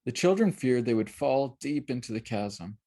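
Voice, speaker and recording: Animated storyteller with a creaky edge. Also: mid-range voice, normal speed. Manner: dramatic, expressive storyteller. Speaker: author reading their children's book aloud. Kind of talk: scripted storybook narration.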